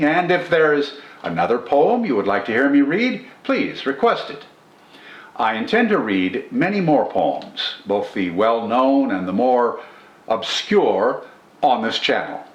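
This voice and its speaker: Expressive older man in an echoey room. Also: medium pitch. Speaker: host of a poetry reading channel. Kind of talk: scripted literary commentary and poetry recitation. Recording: roomy indoor echo.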